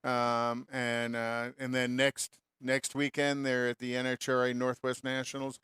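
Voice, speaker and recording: Guy chatting on a car show, smooth clear voice, folksy, dry close sound. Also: mid-range voice, completely flat delivery, a little slow, a bit bright, no room sound. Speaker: co-host of an automotive radio show. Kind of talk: casual panel chat.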